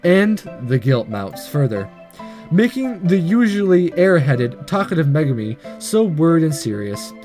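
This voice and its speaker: A man's voice: on the high side, big pitch swings, a little slow, dark tone, soft background music. A man hosting a gaming video, reading a visual novel aloud with casual comments and stumbling over the odd line. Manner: stumbles and rereads lines.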